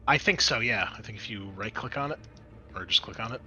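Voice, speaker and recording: A man's voice: lowish voice, fairly quick, bright, forceful tone, muffled recording, slight background noise. A man narrating as game master of a tabletop roleplaying game, explaining things in a conversational, improvised way.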